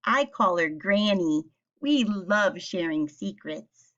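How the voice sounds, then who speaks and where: A woman teacher reading a picture book aloud and speaking to young children with simple questions and clear explanations. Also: mid-range voice, animated, takes it slowly, a bit bright, dull audio.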